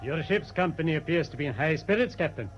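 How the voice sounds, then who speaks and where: An actor playing a courteous, reserved Norwegian naval commander in a radio drama, speaking politely and formally. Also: male voice, on the high side, expressive, quick pace, over music, close to an everyday voice. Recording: noisy, muffled radio drama recording.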